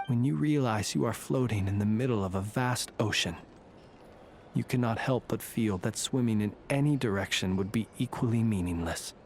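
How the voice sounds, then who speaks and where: An actor playing a calm, ancient, world-weary fae warrior in a video game, with measured, formal, reflective phrasing. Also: male voice, fairly low pitch, slight background noise, lightly acted.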